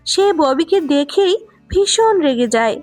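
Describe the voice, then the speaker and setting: A woman narrator with a very high voice tells a children's cartoon story. Her scripted narration sits over faint music. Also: lively intonation, a little slow, darkish tone, dry recording.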